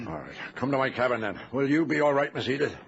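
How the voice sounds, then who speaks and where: An actor playing a dutiful, stubborn ship's captain in old-time radio drama, speaking with formal, old-fashioned courtesy. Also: male voice, medium pitch, very animated, fairly quick, creaky. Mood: grave. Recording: noisy, muffled vintage radio recording.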